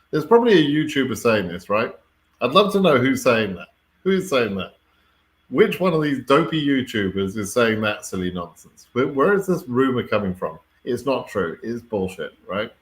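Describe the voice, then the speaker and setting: A British man hosts a casual live Q&A, reading questions and answering them off the cuff. His voice sits at an average pitch for a man. He is blunt and wry, a little exasperated, and often checks that listeners follow. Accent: British English. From Britain.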